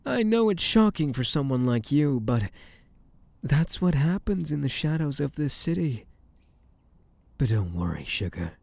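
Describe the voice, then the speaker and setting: A smug mafia boss, acted by a man, talks close and dry in an intimate audio roleplay. His voice is soft-edged and mellow and he takes his time. He teases and commands, possessive and menacing, with pitch that swings freely. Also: gravelly, dry recording, exaggerated voice. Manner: slow, teasing, commanding. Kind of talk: intimate ASMR roleplay acting.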